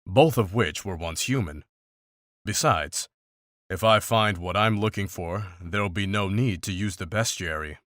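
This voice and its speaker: An actor playing a grim, dry-witted monster hunter in a fantasy visual novel, speaking in a measured, formal, deadpan way. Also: male voice, deep voice, dry recording. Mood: dry, grave.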